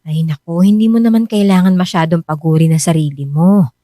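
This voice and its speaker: A Filipino actress playing a worried, suspicious wife who nags and scolds in a Tagalog radio drama. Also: female voice, fairly low pitch, brisk, mellow, no room sound, clean studio sound, close to an everyday voice. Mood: caring, nagging. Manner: insistent, scolding.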